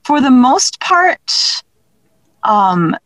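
A woman speaking as an interview guest in casual conversation, thinking aloud in a halting way. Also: high-pitched, big pitch swings, fairly bright tone, no room sound. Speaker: guest, audio drama creator.

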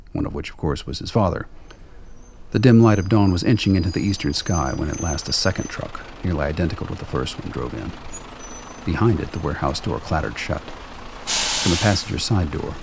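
Deep, gravelly male narrator. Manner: wry, sardonic. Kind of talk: scripted radio play narration. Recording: faint background noise.

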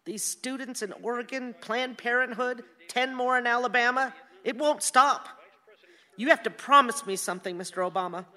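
An actress in a radio play voicing a fed-up, outspoken American wife who speaks in an emphatic, pleading way. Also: female voice, on the high side, moderate pace, pushed and bright. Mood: desperate, demanding. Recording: long hall reverb.

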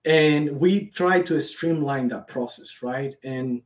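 A man's voice: mid-range voice, takes it slowly. A man from Cuba with a Cuban accent, a native Spanish speaker, answering interview questions with earnest enthusiasm. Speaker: guest, startup founder.

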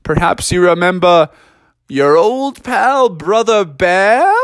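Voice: medium pitch, lively intonation, takes it slowly, no echo, clean studio sound, pitched above the performer's own voice. A storyteller voicing a jolly, proud father frog in a raised, hearty voice.

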